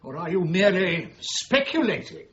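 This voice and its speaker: An actor playing a formal, authoritative committee chairman in a radio drama, measured and superior in manner. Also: high voice, sing-song, fairly bright tone, exaggerated voice. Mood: sceptical. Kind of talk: BBC radio drama acting. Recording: muffled radio broadcast with faint noise.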